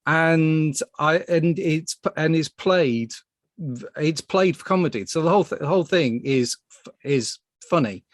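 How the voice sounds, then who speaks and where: A man hosting a casual panel discussion, speaking haltingly and often restarting his phrases. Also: on the high side, no echo, studio quality. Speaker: podcast host.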